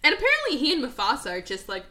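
A young woman hosts a casual podcast, talking fast and loosely with plenty of "like"s and swearing. Her voice is bright and clear, and her pitch swings widely. She sits a little above the usual pitch for a woman. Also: wildly swooping pitch. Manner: profane, rapid, filler-heavy with many "like"s. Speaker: podcast host. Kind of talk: casual movie review chat.

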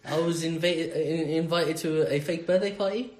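A man's voice: a little high, normal speed, a little mellow, a little hiss or hum. Hesitant man in an echoey room. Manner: hesitant, trailing off with fillers. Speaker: podcast co-host. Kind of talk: casual chat.

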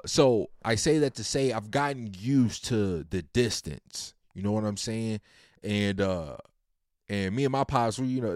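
A man's voice: mid-range voice, a little mellow, dry recording, studio quality. A man in his late twenties talking casually and at length about his family, in a rambling, slang-heavy, laid-back way. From Virginia. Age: 20s. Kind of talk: casual personal monologue.

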